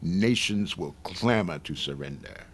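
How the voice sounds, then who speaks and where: A man acts out a suave Martian commander from a 1950s radio play. His voice is low, measured and formal, with smug, cold menace in every deliberate phrase. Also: animated, husky, full character voice. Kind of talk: 1950s radio drama acting.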